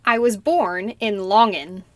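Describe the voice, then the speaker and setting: A young woman playing a polite citizenship applicant who answers slowly and carefully in a scripted practice interview. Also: mid-range voice, no room sound, clean studio sound, close to an everyday voice. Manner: slow, careful, deliberate answers. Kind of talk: scripted role-play interview.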